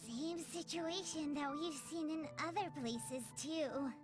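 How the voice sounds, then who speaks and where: Squeaky, very high-pitched little fairy girl. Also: slow. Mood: curious, eager. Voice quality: squeaky, childlike. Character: small cheerful floating fairy companion, childlike girl. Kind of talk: scripted video game voice acting. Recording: game music behind the voice.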